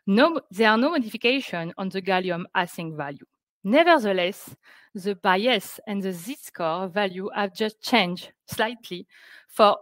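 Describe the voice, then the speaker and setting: A woman presenting scientific results on a webinar, speaking careful, halting English. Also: mid-range voice, a bit bright, no echo. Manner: careful, halting non-native English delivery. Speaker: researcher presenting project results. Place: indoors on a video call.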